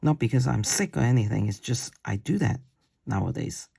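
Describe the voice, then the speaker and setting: A man with a deep voice teaching a watercolor paint-along. He talks slowly while he paints and often checks in with "okay?". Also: expressive, vocal fry, mellow, breathy edge. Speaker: watercolor instructor. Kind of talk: paint-along tutorial demo.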